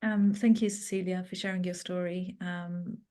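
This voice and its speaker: A woman's voice: lowish voice, level tone, moderate pace, mellow. A woman moderating a panel, speaking in a friendly way with many fillers.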